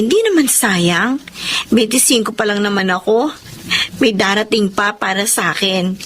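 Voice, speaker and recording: A young Filipina maid played by a woman in a television drama. Her voice sits fairly high and sounds earnest, patient and hopeful. There is slight noise behind her. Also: natural voice. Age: young adult. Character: dutiful 25-year-old overseas maid, patient and hopeful.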